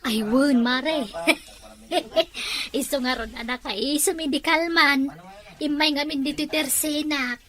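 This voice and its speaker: A woman's voice: high voice, steady tone, takes it slowly, a bit bright. A woman with an Ilocano accent playing a chatty village neighbor who laughs between her lines in a comic drama.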